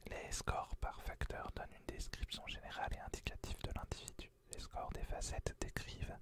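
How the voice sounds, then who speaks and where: A young man from France whispering through a casual vlog in a joking, self-mocking way. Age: young adult. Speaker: freelance graphic designer. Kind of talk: whispered ASMR-style vlog.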